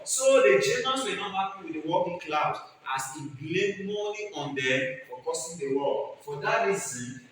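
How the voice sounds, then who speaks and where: Forceful male teacher in a very echoey room. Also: a little high. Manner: emphatic, repeats key phrases. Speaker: history teacher. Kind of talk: exam lecture, explaining point by point. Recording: very echoey noisy hall, far from mic.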